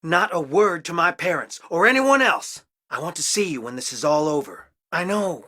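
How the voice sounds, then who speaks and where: A man's voice: a little high, lively intonation, moderate pace, bright, forceful tone, no echo. A young man from a well-off family, torn and wounded, in a dramatic video game. He speaks earnestly, with emotional strain, in a dry, close recording. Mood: urgent, pleading.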